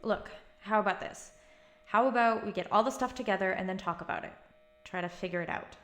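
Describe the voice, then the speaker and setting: A woman's voice: mid-range voice, brisk, fairly bright tone, lightly acted. An actress playing a determined, matter-of-fact young woman in an audio drama, dry and slightly exasperated. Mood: defensive, exasperated. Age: young adult. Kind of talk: naturalistic audio drama acting. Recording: roomy echo with music behind.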